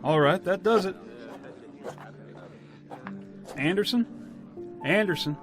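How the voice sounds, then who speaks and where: An actor playing a genial, businesslike small-town official with a folksy American accent in a radio play. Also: male voice, high-pitched, lively intonation, over music. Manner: folksy, jovial, brisk. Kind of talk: radio drama acting.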